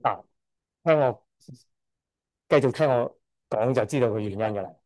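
A middle-aged man from Hong Kong speaks at a church service with a mellow, warm voice. He is hesitant, stops often to correct himself and lets out a shy chuckle now and then. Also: mid-range voice, normal speed. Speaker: church member giving testimony. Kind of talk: church testimony speech.

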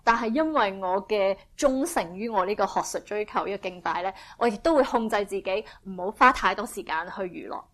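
A woman interpreting a sermon phrase by phrase, speaking in a brisk, fluent and eager way. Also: mid-range voice, animated, a bit bright.

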